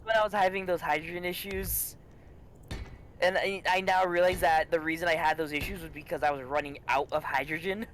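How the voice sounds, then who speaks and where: A man's voice: fairly high pitch, fairly even intonation, a little fast, fairly bright tone, slight background noise. A man chatting in a dry, self-deprecating way as he plays a co-op game with friends. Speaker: co-player. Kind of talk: casual gaming chat.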